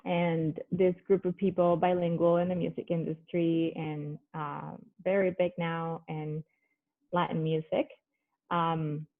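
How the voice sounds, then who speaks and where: A middle-aged woman from Colombia speaks English with a Colombian Spanish accent, her first language being Spanish. She chats casually with plenty of fillers and restarts, her voice sitting a little low. The audio is thin and phone-like, as over a call line. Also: flat delivery, a little slow. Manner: conversational, many fillers and restarts. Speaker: guest, business owner. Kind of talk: casual video-call conversation.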